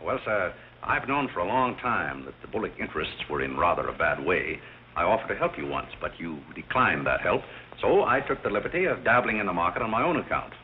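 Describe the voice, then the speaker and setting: An actor playing a composed, wise, courteous butler who speaks with measured, understated politeness in a 1930s-style film comedy. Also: male voice, low-pitched, very animated. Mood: deferential, assured. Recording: tinny, hissy old film soundtrack.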